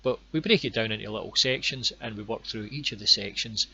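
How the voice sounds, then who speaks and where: A Scottish man guiding viewers through his online course with plain, unhurried explanations and a few ums and repeated words. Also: fairly low pitch, creaky, pushed and bright, lo-fi sound, a little hiss or hum. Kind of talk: screen-recorded course walkthrough.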